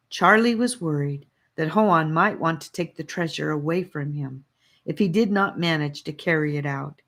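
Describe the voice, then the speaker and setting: A woman reading a children's fantasy audiobook, narrating in a careful, expressive storytelling manner. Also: low-pitched. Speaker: audiobook reader.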